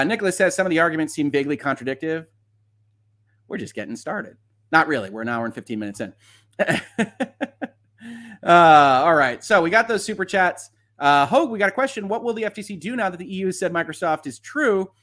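Higher-pitched man giving frank live commentary. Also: fairly bright tone. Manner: conversational, explanatory, often checks with a tag "right?". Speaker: live stream host and legal commentator. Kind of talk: live commentary, answering viewer questions and explaining antitrust law.

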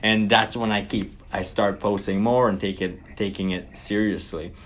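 A man's voice: lowish voice, steady tone, moderate pace. A man from Guyana, an interview guest telling his story in an earnest, casual way that livens up when he recounts events.

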